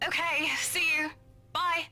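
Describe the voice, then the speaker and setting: An actress playing a brash, teasing, sceptical young colleague in a visual novel, with a British accent and a breezy, sassy manner. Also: female voice, extremely high pitch, level tone, slow, sharp tone, soft background music, full character voice. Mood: dismissive, breezy.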